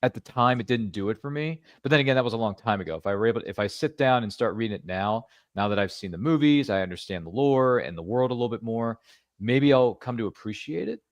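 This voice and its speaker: A man's voice: medium pitch, lively intonation, quick pace, dry recording. A man hosting a podcast interview, chatty and rambling, with jokey asides. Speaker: podcast host. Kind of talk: casual interview chat.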